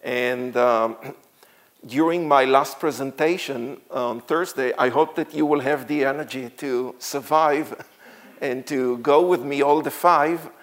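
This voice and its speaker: Slow-talking Israeli man in his sixties. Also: mid-range voice. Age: 60s. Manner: halting, many fillers, frequent light laughs. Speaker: guest speaker, tour guide. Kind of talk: church talk to a congregation.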